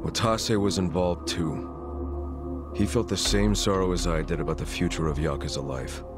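A man playing a stern, formal yakuza clan chairman in a video game, speaking gravely and deliberately. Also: low voice, fairly even intonation, music in the background, lightly acted. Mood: grave, explanatory. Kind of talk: video game cutscene acting.

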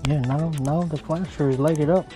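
A man from Minnesota giving relaxed, chuckling commentary while ice fishing inside a small shelter, picked up by an action camera. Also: fairly high pitch, normal speed, music in the background. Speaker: fishing vlogger.

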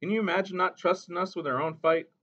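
A man's voice: high voice, steady tone, fast, pitched above the performer's own voice. Man doing an earnest young soldier. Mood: bitter. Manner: earnest, quiet. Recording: dull lo-fi recording.

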